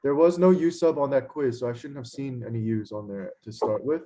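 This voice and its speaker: A hoarse young man teaching an online lecture, frustrated and scolding, with lots of fillers. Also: medium pitch, a little fast, dark tone. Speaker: instructor. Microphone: computer mic on a video call.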